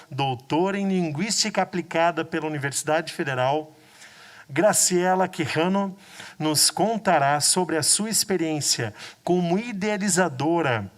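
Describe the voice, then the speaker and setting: A man hosting an event, formally introducing the next guest speaker. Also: on the high side. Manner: formal, announcing. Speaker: event host. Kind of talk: scripted introduction.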